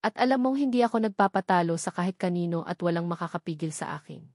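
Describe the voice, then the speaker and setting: A woman's voice: lowish voice, animated, fast, a little mellow, no room sound, clean studio sound. A woman voicing a hard, taunting male syndicate boss in a narrated story, menacing and arrogant.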